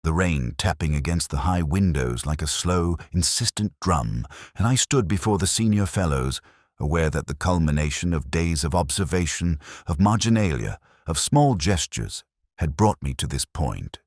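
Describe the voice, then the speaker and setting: A man with an extremely deep voice narrates a detective story in the first person. His delivery is measured and contemplative, with a literary feel and expressive rises and falls. The sound is dry and close, without room reflections. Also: dry recording. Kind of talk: scripted first-person audiobook-style mystery narration.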